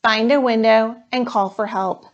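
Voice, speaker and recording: Woman playing a male schoolteacher, storybook character, calm, instructive, measured, thorough. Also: a little high, dull audio, natural voice. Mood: firm, instructive. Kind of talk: storybook read-aloud.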